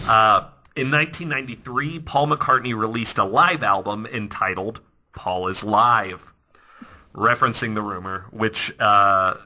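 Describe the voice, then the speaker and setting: A man hosting a podcast, telling stories in a casual, animated, conversational way that often restarts mid-thought. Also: fairly low pitch. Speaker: podcast host. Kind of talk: casual storytelling podcast talk.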